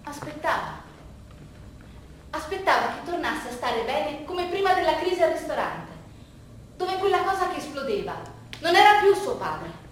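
A woman performing a dramatic theatrical monologue as narrator, with emphatic repeated phrases and deliberate pauses. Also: sits high, very animated. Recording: echoey room, distant mic, faint noise.

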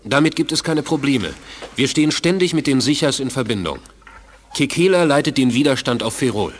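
An actor playing a courteous, somewhat guarded alien leader in a science fiction radio drama. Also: male voice, medium pitch, expressive, fairly quick, close to an everyday voice. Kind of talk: 1980s German radio drama acting.

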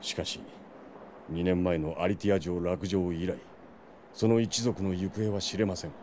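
An actor playing a loyal, solemn knight captain in a fantasy audio drama, speaking in formal, deferential courtly language. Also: male voice, low voice, steady tone, normal speed, darkish tone, a little hiss or hum, close to an everyday voice. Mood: grave.

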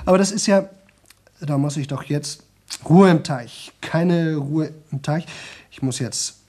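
A man's voice: a little high, measured pace, darkish tone. An actor playing a young man in a radio drama who talks nonstop for a record, rambling, repeating himself and slipping into singsong. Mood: weary, rambling. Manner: rambling, repetitive, chatty, drifting into singsong. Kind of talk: 1970 German radio play monologue.

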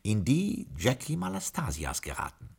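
An actor playing a discreet, unflappable butler in his late forties in a radio play, formal, deferential and dryly deadpan. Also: mid-range voice, moderate pace, creaky, slightly rough, exaggerated voice. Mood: dry, confiding. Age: late 40s. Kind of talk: 1960s German radio play acting and narration.

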